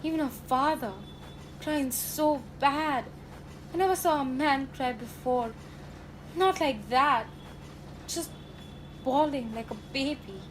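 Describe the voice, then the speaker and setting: A woman's voice: sits high, takes it slowly, pauses often, a little hiss or hum, low-quality recording. A teenage girl playing a sentimental, gossipy working-class factory girl in a radio drama, folksy and dramatic. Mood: mournful. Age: teenage high school student.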